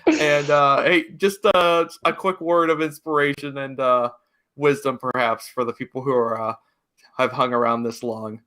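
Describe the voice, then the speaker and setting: A man's voice: mid-range voice, steady tone, normal speed, pushed and bright. A man chatting casually as the host of a podcast. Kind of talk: casual chat.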